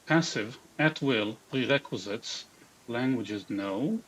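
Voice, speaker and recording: A man's voice: medium pitch, animated, slow pace, slight background noise. A man from Israel with an Israeli accent, streaming live, reading chat aloud and muttering half-sentences to himself as he builds a game character. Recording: dull lo-fi stream audio.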